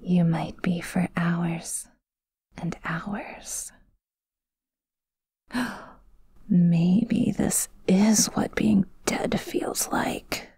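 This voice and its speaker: A woman playing a possessive, menacing, teasing yandere demon in a breathy, whispered ASMR roleplay, slow and intimate. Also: fairly low pitch, steady tone, dark tone, dry recording, clean studio sound, heavily acted. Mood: eerie musing.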